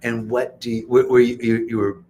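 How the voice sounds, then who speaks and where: A man's voice: mid-range voice, little pitch movement, brisk, mellow, slight background noise. Upbeat joking man hosting an interview. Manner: upbeat and joking, laughs often. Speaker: host. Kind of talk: casual interview. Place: retreat center in Costa Rica.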